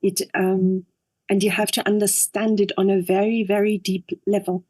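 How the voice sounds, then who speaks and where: A woman from Upper Austria whose first language is German, speaking English with an Austrian accent as an interview guest, thoughtful and halting with many fillers. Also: mid-range voice, level tone, darkish tone. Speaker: guest, actress and director. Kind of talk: interview conversation.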